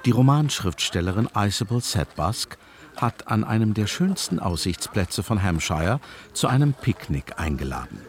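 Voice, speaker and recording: A low-voiced radio drama narrator. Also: male voice, very animated, normal speed. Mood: measured, narrative. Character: scene-setting storyteller of a 1930s period drama.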